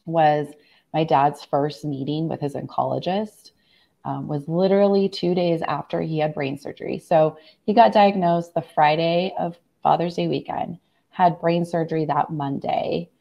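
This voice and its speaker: A middle-aged American woman, hoarse from laryngitis, telling a personal story as a guest in a casual video-call interview. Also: sits low, steady tone, measured pace, dark tone. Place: room at home, on a video call.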